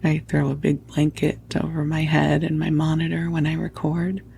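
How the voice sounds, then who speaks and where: A woman whispering softly and tenderly into a close microphone as she hosts a spiritual sleep podcast, soothing and affectionate. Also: sits low, completely flat delivery, normal speed. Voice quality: hushed, breathy whispering. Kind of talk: whispered guided sleep talk, spiritual meditation. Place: at home under a blanket.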